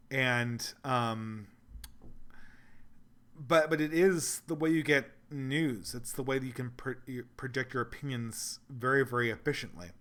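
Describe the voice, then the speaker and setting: A man whose voice is going hoarse talks through a livestream in an ordinary middle register. He is earnest and meandering, and he works his thoughts out aloud as he answers viewers. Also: mid-range voice, a bit bright. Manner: rambling, earnest, thinks aloud. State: losing his voice. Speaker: livestream host reading donations. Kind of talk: casual livestream Q&A.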